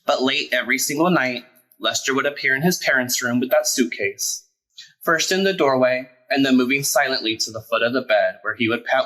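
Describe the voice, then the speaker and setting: A man reading a children's picture book aloud as a storyteller, in an expressive, deliberate way with emphasized words. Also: medium pitch, poor audio. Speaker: storyteller, drag performer.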